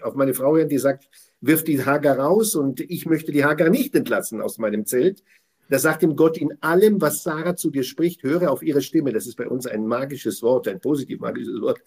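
Emphatic, rambling man with a husky voice. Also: medium pitch, darkish tone. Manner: emphatic, digressive, repeats phrases. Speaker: guest speaker on Torah portion. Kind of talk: effusive conversational talk.

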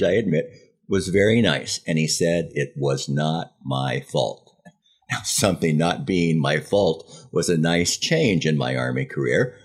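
Husky, low-voiced older American man. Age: 70s. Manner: wry, self-deprecating, dramatizes dialogue. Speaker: podcast host. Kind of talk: first-person memoir storytelling.

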